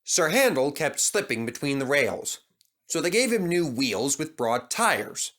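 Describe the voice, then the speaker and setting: A man's voice: a little high, very animated, unhurried, a bit bright. A man reading a children's story as a scripted narrator.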